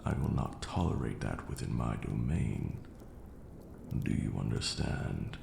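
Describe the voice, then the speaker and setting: A man playing a stern, aloof vampire lord in an ASMR roleplay, speaking in a formal, haughty way with a gravelly, breathy near-whisper. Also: rumbling low, sing-song, full character voice. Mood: stern, scolding. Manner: formal, haughty, slow scolding lecturing delivery. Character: stern aloof ancient male vampire lord, grudgingly caring. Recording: roomy echo, clear background noise.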